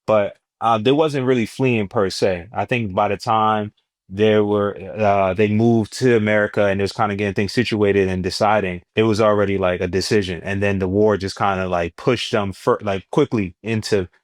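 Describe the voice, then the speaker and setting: Laid-back young Jersey man, low voice. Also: moderate pace, no room sound, studio quality. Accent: New Jersey. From New Jersey, US. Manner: laid-back, slangy, animated when telling stories. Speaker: guest, stand-up comedian. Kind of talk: casual interview chat.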